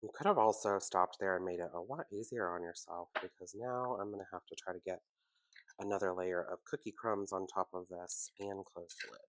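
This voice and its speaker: A man hosting a casual home baking tutorial, chatty and relaxed, with a congested, sniffly, nasal voice from a cold. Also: sits low, brisk, dry recording. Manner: chatty, relaxed, self-deprecating asides.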